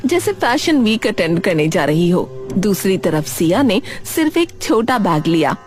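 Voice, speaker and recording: A woman reading expressive scripted narration for a cartoon story. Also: mid-range voice, unhurried, faint music behind.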